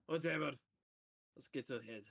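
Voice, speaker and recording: A man narrating as game master of a tabletop role-playing game, casual and jokey, with a big booming voice. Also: normal speed, fairly bright tone.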